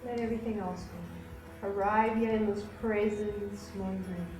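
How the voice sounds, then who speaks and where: Lady yoga teacher talking you into relaxation, soothing and unhurried, roomy echo, bit of music behind. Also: medium pitch, steady tone. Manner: soothing, unhurried guidance with gentle pauses. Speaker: yoga instructor hosting the class. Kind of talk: guided yoga and relaxation instruction.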